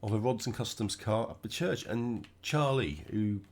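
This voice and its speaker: Croaky man with a cold. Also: on the low side, moderate pace. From United Kingdom. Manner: relaxed, chatty, thinking aloud while driving. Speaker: gaming channel host. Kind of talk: casual gameplay commentary.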